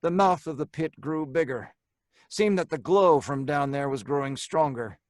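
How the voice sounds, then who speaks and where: An actor playing a hard-boiled crook with an American accent, dramatically acting and narrating in old-time radio horror style. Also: male voice, a little high, normal speed, no echo, clean studio sound, close to an everyday voice. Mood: dread. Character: Stanley, hard-boiled male crook narrating his own doom.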